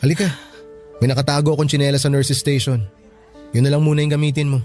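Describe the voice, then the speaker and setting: An actor playing a calm, reassuring young male hospital worker in a radio drama, speaking soothingly and earnestly. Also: a little high, fast, faint music behind, lightly acted. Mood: gently reassuring.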